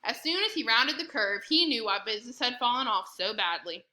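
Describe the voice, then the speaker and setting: A woman narrating a children's book aloud in an expressive storytelling style. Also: fairly high pitch, a little fast.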